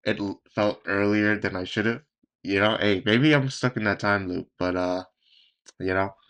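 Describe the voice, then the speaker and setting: A man's voice: lowish voice, a bit bright. A man hosting a reaction video in casual chat, with a nonchalant, understated way of talking.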